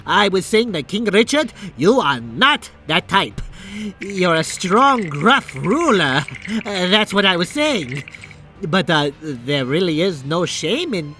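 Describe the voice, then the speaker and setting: A man's voice: high voice, very animated, slow, pushed and bright, faint music behind, exaggerated voice. A man voicing an anxious, apologetic young man with a nervous, stammering, pleading manner in a fan comic dub.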